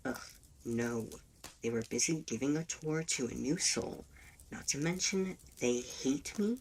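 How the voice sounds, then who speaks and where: Cocky male demon for audio roleplay, acted out, smug and quick to argue, mid pitch, slightly distant, faintly noisy recording. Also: lively intonation, darkish tone. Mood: irritated, insistent. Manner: smug, taunting, argumentative. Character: cocky, teasing, hot-headed male demon. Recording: distant mic with faint background noise.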